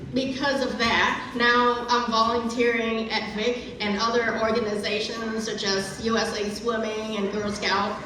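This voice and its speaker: A middle-aged woman from Vietnam with a Vietnamese accent, giving an earnest, careful prepared speech at a community event with frequent restarts. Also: on the high side. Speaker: guest speaker. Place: large echoey event hall. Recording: echoey hall, voice from far off.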